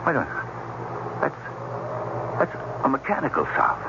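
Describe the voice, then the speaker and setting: An actor in a radio drama playing an injured, frightened scientist, halting and repeating his words in gasps. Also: medium pitch, huge pitch swings, creaky. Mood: agitated. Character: injured, frightened male scientist stranded on alien planet. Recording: noisy, muffled old radio broadcast.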